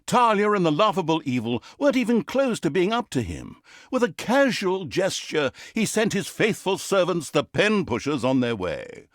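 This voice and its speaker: Smug, theatrical game narrator, swooping pitch. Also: male voice, big pitch swings, moderate pace, dry recording, studio quality. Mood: sly, feigned innocence. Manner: sardonic, pompous, hammy. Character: smug, petty, fourth-wall-breaking male narrator of a comic fantasy game. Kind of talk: theatrical comic storytelling narration.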